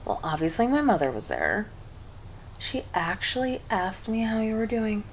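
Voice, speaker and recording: Woman voicing an affectionate succubus girlfriend, faintly creaky. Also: medium pitch, big pitch swings, moderate pace, a little hiss or hum. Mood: cheerful. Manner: doting, teasing, speaking intimately to a partner. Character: cheerful, affectionate succubus girlfriend, playful and teasing. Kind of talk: ASMR roleplay, scripted monologue to the listener.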